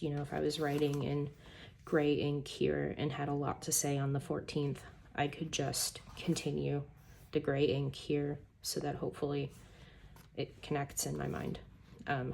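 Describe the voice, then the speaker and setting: A woman with a hoarse, gravelly voice chatting casually at her desk about her planners, rambling through self-deprecating asides. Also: low-pitched, darkish tone, a little hiss or hum. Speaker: planner YouTuber. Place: home desk by a sunny window.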